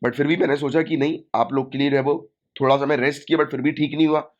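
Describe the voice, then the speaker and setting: A man with a sore throat lectures students for exam prep, going over key points and checking in with "right?" His voice sits a little high for a man. The recording is dull and lo-fi. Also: steady tone, fast talker. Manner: explanatory, repeats key points and asks "right?". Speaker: exam coaching teacher.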